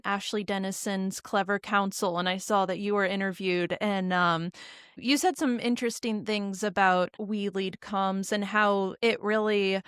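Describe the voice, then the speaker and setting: A woman's voice: medium pitch, smooth, dry recording, clean studio sound. A woman hosting a podcast, asking her guest questions in a friendly, upbeat and loose way. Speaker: podcast host. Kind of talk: casual interview talk.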